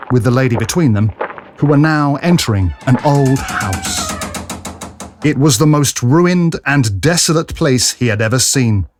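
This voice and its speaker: A man narrating a scripted period adventure story in a vivid, theatrical manner. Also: on the high side.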